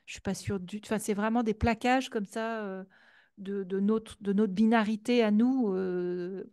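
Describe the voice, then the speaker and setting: A French woman talks through ideas at a conference, stalling on repeated words and fillers as she searches for the next phrase. Her tone is mellow and soft-edged. The sound is dry and close, with no room echo. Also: mid-range voice, fairly even intonation, a little slow, smooth. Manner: hesitant, many restarts and fillers. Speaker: guest speaker. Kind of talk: conference talk and Q&A.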